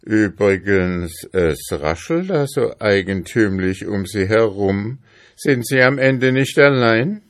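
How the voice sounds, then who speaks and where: Man as a loud, high, blustering stingy hamster. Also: measured pace. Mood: suspicious. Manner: blustering, indignant, grumbling. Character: grumpy, stingy, fat male hamster farmer.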